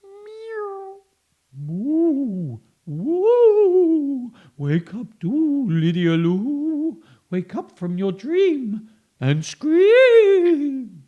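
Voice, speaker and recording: A man putting on a high wailing ghost. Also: huge pitch swings, mellow, highs cut off, exaggerated voice. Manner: drawn-out wailing chants. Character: spooky male ghost, wailing, trying to wake a girl.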